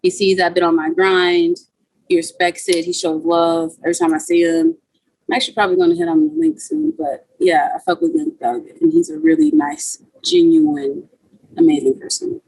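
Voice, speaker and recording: A woman's voice: fairly low pitch, sing-song, normal speed, creaky, darkish tone, breathy edge, no room sound, low-quality recording. A young woman from Guyana, a musician guest chatting casually on a video call interview, with a laid-back manner full of "like" and "you know".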